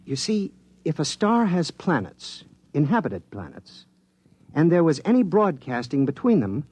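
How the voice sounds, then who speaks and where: A man's voice: a little high, sing-song, a little slow, darkish tone, slightly rough, no echo, heavily acted. An actor playing an earnest male radio engineer in a 1950s radio drama, explaining his decoding of star signals at length.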